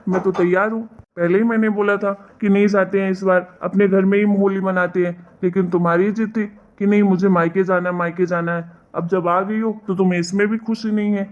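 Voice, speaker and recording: A woman voicing an exasperated, reproachful young husband in an animated family drama, lecturing and scolding. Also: mid-range voice, fairly quick, few pauses, dull audio, lightly acted.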